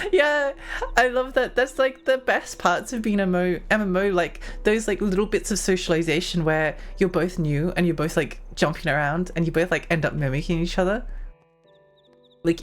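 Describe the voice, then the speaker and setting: Chatty low-voiced woman streamer. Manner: rambling, chatty, many "like" fillers, frequent giggles. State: losing her voice. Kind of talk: casual live-stream chat while gaming.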